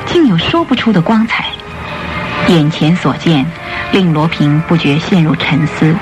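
A woman's voice: low-pitched, lively intonation, unhurried. Female radio drama narrator over music. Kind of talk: scripted dramatic storytelling narration.

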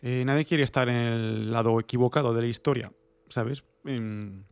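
A man with an accent from Spain co-hosting a casual discussion podcast, explaining things in a halting, digressive way with some colloquial swearing. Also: medium pitch, fairly even intonation, darkish tone, clean tone, dry recording. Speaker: podcast co-host.

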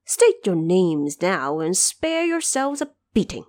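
A woman's voice: fairly low pitch, big pitch swings, slow pace, darkish tone, no room sound. A woman voicing a defiant, boastful monkey warrior in an audio drama, with an imperious, challenging manner. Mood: defiant, demanding.

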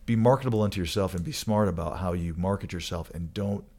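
A man's voice: sits low, fast talker, a little mellow. A man from Michigan co-hosting a casual chat, rambling and joking, often restarting his sentences. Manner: rambling, joking, self-correcting. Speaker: co-host.

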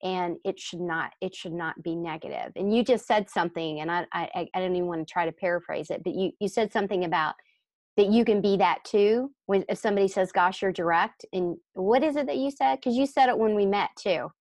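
A woman's voice: lowish voice, brisk, darkish tone, no room sound. Earnest, emphatic woman, closely recorded. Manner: earnest, emphatic, rephrasing as she explains. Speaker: podcast guest. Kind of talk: conversational interview.

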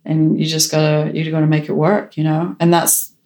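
A woman's voice: low voice, completely flat delivery, moderate pace, warm tone. A woman director and photographer answering interview questions in a frank, decisive way, with a strong voice. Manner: decisive, frank, self-assured.

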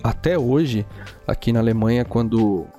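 A man's voice: medium pitch, normal speed, mellow, faint music behind. A man from Brazil with a Brazilian Portuguese accent, hosting a solo podcast in a chatty, rambling way and tagging sentences with "né?". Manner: chatty, rambling, frequent "né?" tags. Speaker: podcast host. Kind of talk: casual solo podcast monologue. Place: home in a small town.

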